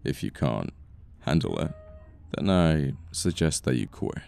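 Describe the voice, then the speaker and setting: A man's voice: a bass voice, big pitch swings, unhurried, creaky, dark tone, clear, resonant tone, exaggerated voice. A British man playing a haughty, bitter young boss who was once a nerd, in an intimate audio roleplay with formal, sardonic phrasing. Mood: cold, dismissive. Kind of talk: intimate ASMR roleplay acting.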